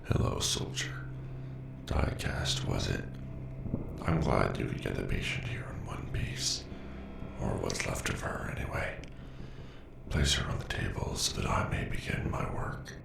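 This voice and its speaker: A man's voice: a bass voice, a little slow, gravelly, long pauses, in a whisper, full character voice. A man voicing a cold, logical, menacing robot scientist in an audio drama, speaking formally and with condescension. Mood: cold, sinister. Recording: distant, echoey, noisy voice track.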